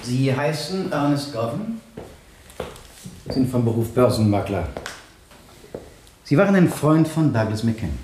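An actor playing an official, insistent public prosecutor in a radio courtroom drama, speaking formally and emphatically. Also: male voice, medium pitch, sing-song, measured pace, long pauses, darkish tone, slight background noise. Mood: probing, formal. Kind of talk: 1970 German radio drama acting, courtroom questioning. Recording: roomy vintage radio studio sound.

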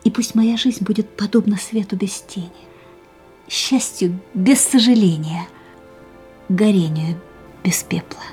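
A woman's voice: mid-range voice, unhurried, pauses often, mellow, faint music behind, lightly acted. An actress playing a frank, intense young woman hungry for life in a radio play, with a dramatic, searching manner. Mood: rapt, solemn.

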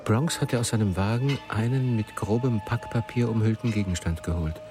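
An actor playing a writer who narrates his own story in a radio play, in a measured, literary manner. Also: fairly low pitch, music in the background, lightly acted. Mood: measured, observing. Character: male first-person narrator, a writer turned would-be marksman.

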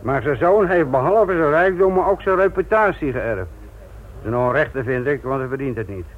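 An actor playing a blunt, sardonic, middle-aged police inspector lecturing trainees in a Dutch radio play. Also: male voice, medium pitch, moderate pace, natural voice. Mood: brisk, scornful. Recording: tinny, noisy old radio play recording.

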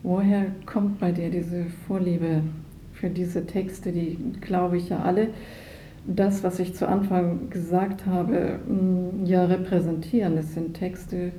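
A woman interviewing someone thoughtfully, with measured, slightly hesitant phrasing. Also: on the low side, level tone, dark tone. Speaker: interviewer, former radio drama editor. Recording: roomy recording with faint noise.

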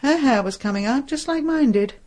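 A middle-aged English woman novelist in a British radio drama. She is scatty and opinionated, with a fairly high, husky voice. Her delivery is lively, rising and falling as she exclaims. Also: fairly quick. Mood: brisk, curious.

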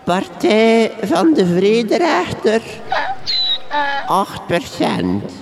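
A woman playing an awkward, halting village official with a Flemish accent, making announcements in a comic radio drama. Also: fairly high pitch, wildly swooping pitch, vocal fry, exaggerated voice. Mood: flustered. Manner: halting, flustered. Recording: crowd noise behind the voice.

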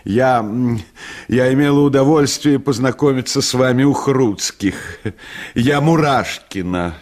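A man voicing a nervous, gushing, pushy lady playwright, with a high voice and halting, effusive delivery in a storybook reading. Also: darkish tone, natural voice. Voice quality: high, tenor-like voice.

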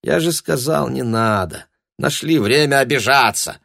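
Blustering, excitable small-time shopkeeper hustler for an audiobook, acted by a man, colloquial, closely recorded. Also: medium pitch, creaky, slightly rough, no echo, clean studio sound, voice pushed up, exaggerated voice. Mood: furious. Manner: blustering, quick to shout, colloquial. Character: agitated shopkeeper, hustler with colloquial southern turns of speech.

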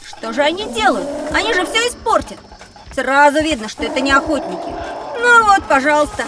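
A curious young boy with a high, eager voice. The child sounds excitable and emotive in this children's audio drama, set a bit off mic amid clear ambient noise. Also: a little fast, far from the mic. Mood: excited, worried.

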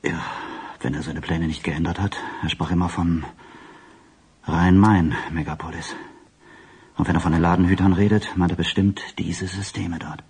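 A man playing a grave, alarmed doctor in a radio drama, speaking in a halting, stunned way. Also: low voice, fairly even intonation, a little mellow, husky, exaggerated voice. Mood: grim. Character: alarmed, grave male doctor grasping a catastrophe.

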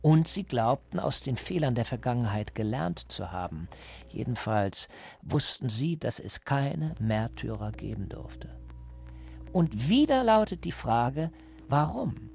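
An actor playing a coldly patient, menacing party interrogator who lectures deliberately and insistently in a radio drama. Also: male voice, medium pitch, sing-song, creaky, a little mellow, husky, exaggerated voice. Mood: cold, lecturing. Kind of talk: German radio drama acting.

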